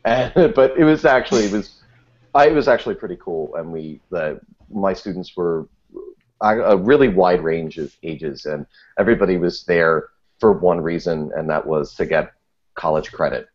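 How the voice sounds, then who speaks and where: A man with a low voice speaks as a guest in a casual interview. He is wry and self-deprecating, rambling through false starts. The recording is somewhat dull and lo-fi. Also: moderate pace, a little mellow. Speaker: podcast guest. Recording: dull, muffled lo-fi audio.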